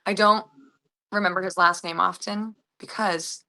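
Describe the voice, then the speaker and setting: A woman's voice: mid-range voice, steady tone, measured pace, bright, forceful tone. A woman chatting casually and enthusiastically as an actress being interviewed.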